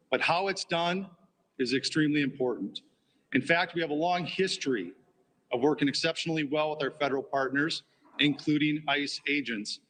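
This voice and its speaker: Man, police chief, formal press statement, deliberate pace, slightly raised pitch. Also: fairly bright tone. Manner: measured, deliberate official delivery.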